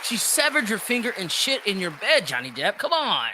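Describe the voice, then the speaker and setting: A man's voice: high voice, huge pitch swings, moderate pace, pushed and bright, some chatter behind, a little hiss or hum. A man hosting a podcast, giving opinionated reaction commentary in a brash, profane, exclamatory way. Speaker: podcast host.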